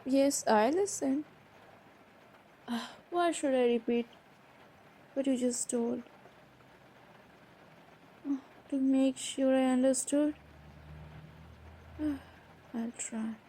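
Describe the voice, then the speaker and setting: Woman acting out a shy flustered girl in a romance roleplay audio, really slow n stammery, kinda high voice, some bg noise. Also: warm tone, breathy edge, exaggerated voice, rough recording. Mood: disbelieving, reluctant. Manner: hesitant, stammering, breaking off and repeating words. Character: flustered, shy young woman confessing to her stepbrother.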